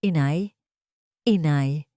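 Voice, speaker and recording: A woman's voice: low-pitched, drawn-out words, warm tone, dry recording. An anguished grown son, acted by a woman narrator, pleads in sorrow. It is melodramatic audio storytelling, recorded dry. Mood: desperate, pleading.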